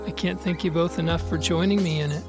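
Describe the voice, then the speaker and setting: A man's voice: on the high side, steady tone, fast talker, over music, natural voice. A man acting a reassuring, reflective and sentimental brother in a naturalistic audio drama. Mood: supportive.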